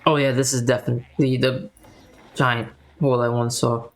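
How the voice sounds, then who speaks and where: A man's voice: mid-range voice, flat delivery, normal speed, soft background music. A man giving casual gameplay commentary, rambling and thinking aloud as he plays. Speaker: game streamer.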